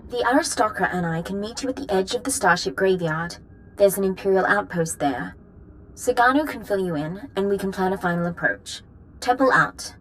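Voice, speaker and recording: Woman playing a brisk, wry female officer. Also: fairly low pitch, fairly bright tone, slight background noise, close to an everyday voice, poor audio. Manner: crisp, dutiful, wry. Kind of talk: video game military acting.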